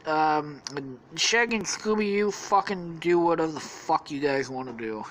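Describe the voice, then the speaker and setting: A woman's voice: deep voice, normal speed, close to an everyday voice, poor audio. A woman voicing a bossy, crude young man who leads the group. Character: bossy pushy young man, gang leader.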